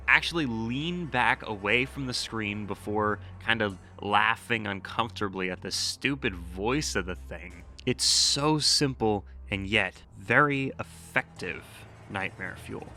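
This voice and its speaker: A man's voice: fairly low pitch, measured pace, few pauses, pushed and bright. A man hosting scripted horror commentary, with a wry, sarcastic manner and dry asides. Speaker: YouTube host.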